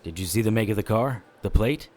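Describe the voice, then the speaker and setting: An actor playing a tough, wry private eye in a noir audio drama, with a deadpan delivery. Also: male voice, lowish voice, fairly quick, a little hiss or hum, close to an everyday voice. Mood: businesslike.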